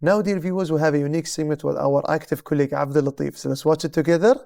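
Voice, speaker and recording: Hesitant man, mellow tone. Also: fairly high pitch, steady tone, very fast, gravelly. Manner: hesitant, repeats words. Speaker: co-host. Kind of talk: casual chat on a TV show.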